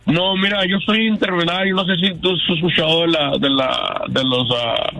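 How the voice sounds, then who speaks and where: A man in his fifties, from Canada, calling into a radio show and telling his story haltingly, with many restarts. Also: fairly high pitch, gravelly, pushed and bright, faint music behind. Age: 50s. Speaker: caller. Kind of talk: phone-in testimony. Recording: phone call on radio.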